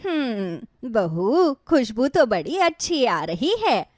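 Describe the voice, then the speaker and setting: A woman's voice: a little high, very animated, slow pace, no echo, clean studio sound. Woman acting a chatty, lilting aunt-in-law. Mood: pleased. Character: chatty, flattering middle-aged village aunt-in-law. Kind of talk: acted cartoon moral-story dialogue.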